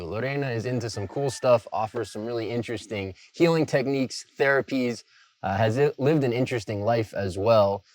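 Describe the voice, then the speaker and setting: A man hosting an interview, relaxed and friendly, with plenty of fillers. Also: medium pitch, dry recording. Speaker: interview host. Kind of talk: casual interview.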